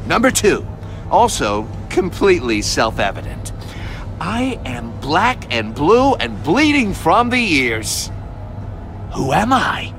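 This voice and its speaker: Smug older riddle villain for a game, slow and gravelly, taunting, music behind. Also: male voice, sits high, wildly swooping pitch, fairly bright tone, heavily acted. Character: gloating sadistic older male riddle-obsessed supervillain. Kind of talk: video game villain acting.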